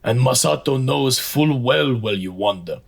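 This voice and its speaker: A man playing a wise old mystic master in an audio drama, speaking in cryptic, poetic phrases with a creaky voice. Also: mid-range voice, expressive. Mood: gentle, knowing. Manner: slow, cryptic, poetic speech. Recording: clearly noisy recording.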